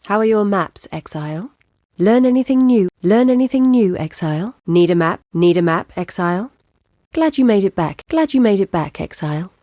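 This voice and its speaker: An actress playing a guarded, earnest woman scholar-explorer in a video game, speaking in a measured, serious, articulate way. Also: medium pitch, animated, warm tone, no echo, studio quality, close to an everyday voice. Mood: brisk greeting. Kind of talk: scripted video game voice acting.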